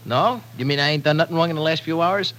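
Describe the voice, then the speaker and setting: A man's voice: on the high side, fast talker, fairly bright tone, slight background noise, natural voice. An actor playing a brash, slangy young tough guy in an old-time radio drama, blunt and eager. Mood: cheeky, surprised. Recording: vintage radio broadcast, slightly rough.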